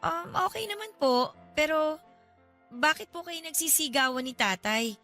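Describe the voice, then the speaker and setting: A woman's voice: high-pitched, lively intonation, moderate pace, sharp tone, soft background music, exaggerated voice. A woman playing a worried, respectful Filipino teenage daughter in a radio drama, hesitant and polite. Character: worried, respectful eighteen-year-old daughter.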